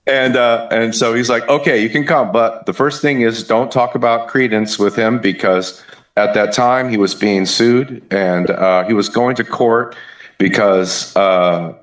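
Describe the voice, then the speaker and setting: A man's voice: medium pitch, takes it slowly. Fields: Sex: man. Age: 50s. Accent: Southern US. Style: interview. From Southern United States (Kentucky, Memphis). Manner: relaxed, hesitant with many fillers, chuckles. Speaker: musician guest. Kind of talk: casual interview chat. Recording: echoey room.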